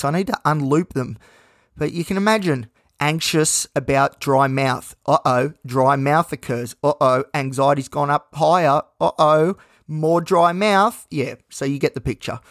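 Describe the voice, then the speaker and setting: A man hosting his own podcast, talking in a candid, rambling way with many fillers. He sounds a little croaky and nervous, with a dry mouth. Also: medium pitch, no echo. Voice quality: slightly croaky. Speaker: podcast host. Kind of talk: casual solo podcast monologue.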